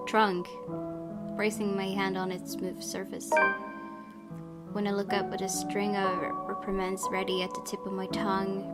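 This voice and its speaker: A woman hosting a gaming video, reading story text aloud expressively with casual, informal reactions. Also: mid-range voice. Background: game music.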